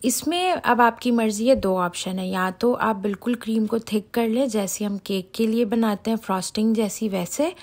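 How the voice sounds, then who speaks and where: A woman's voice: on the high side, moderate pace, few pauses, a little mellow. A middle-aged woman hosts a casual home cooking voice-over, chatting directly to viewers. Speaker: home cooking channel host. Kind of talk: casual recipe voice-over tutorial.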